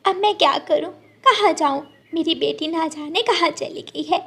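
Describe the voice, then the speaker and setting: A woman's voice: very high-pitched, moderate pace, vocal fry, fairly bright tone, pitched above the performer's own voice, full character voice. A woman voicing a protective, anxious mother bird in a children's cartoon, motherly and fretful in manner. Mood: distressed, pleading. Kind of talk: Hindi children's cartoon story acting.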